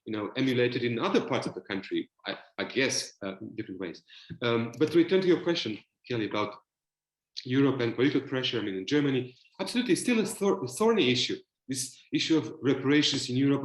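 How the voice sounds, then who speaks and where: A man with a Romanian accent speaks at a mid pitch, his voice ringing in an echoey room over a video call. He talks like a panelist working through his points, thoughtful and hesitant, full of fillers and restarts. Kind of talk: virtual panel discussion.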